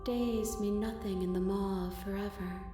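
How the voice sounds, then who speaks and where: A vast, otherworldly princess of many arms from a video game, played by a woman, speaking with calm, solemn patience. Her voice rings in an echoey space, with music beneath it. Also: medium pitch, close to an everyday voice. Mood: serene. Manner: serene, measured, solemn.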